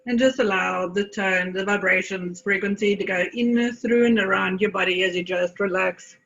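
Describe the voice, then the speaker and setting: A woman from South Africa leading a guided meditation, speaking in deliberate phrases with long pauses. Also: medium pitch, steady tone, gravelly, a bit bright, lo-fi sound.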